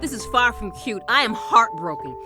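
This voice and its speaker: A woman's voice: a little high, animated, moderate pace, close to an everyday voice. Woman acting betrayed wife, sarcastic n indignant, audio drama w music under. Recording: music behind.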